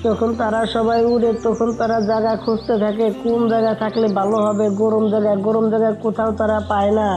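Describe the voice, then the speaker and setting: Quick, very monotone woman narrator. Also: on the high side. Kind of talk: scripted cartoon story narration.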